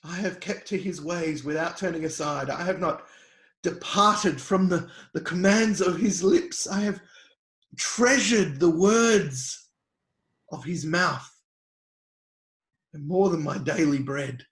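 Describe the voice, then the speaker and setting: A man playing an anguished, devout sufferer, bitter yet defiant, in an impassioned, halting dramatic scripture reading. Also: a little high. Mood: subdued, steadfast. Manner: impassioned, halting delivery swinging from cries to hushed lines. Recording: echoey room.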